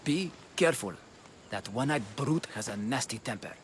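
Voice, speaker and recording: An actor playing a shrewd, friendly shipwright with a Greek accent, haggling and teasing in a video game cutscene. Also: male voice, mid-range voice, expressive, moderate pace, noisy background, lightly acted. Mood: cautioning.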